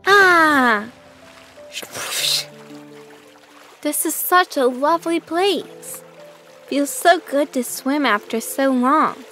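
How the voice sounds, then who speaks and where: A woman voicing a lonely, gentle young duckling in a children's cartoon, earnest and plaintive. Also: high-pitched, expressive, slow pace, full character voice. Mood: wondering, pleased. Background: music.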